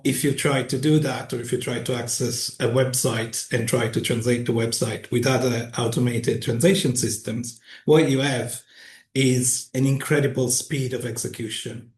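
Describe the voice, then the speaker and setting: Measured lecturer in a roomy hall. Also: male voice, medium pitch. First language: Italian. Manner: measured, deliberate, emphatic with rhetorical questions. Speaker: university lecturer. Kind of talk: public lecture.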